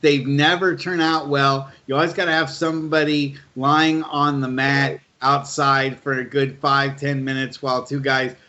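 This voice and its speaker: A man's voice: medium pitch, little pitch movement, a little slow, few pauses, bright, forceful tone, lo-fi sound. A man co-hosting a casual interview show, speaking in a blunt, opinionated way. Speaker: show co-host.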